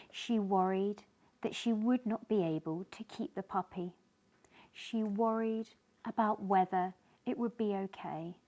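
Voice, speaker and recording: A woman reading a children's picture book aloud in an animated way, as if to young listeners. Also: mid-range voice. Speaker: children's story reader, host.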